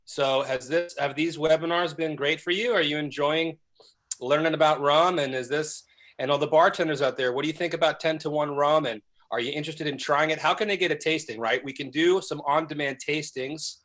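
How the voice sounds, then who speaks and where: A man hosting a live webinar on a video call, reading out viewer comments in an upbeat, energetic way. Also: fairly high pitch, fairly quick. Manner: upbeat, hyped, energetic shout-outs. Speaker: webinar host.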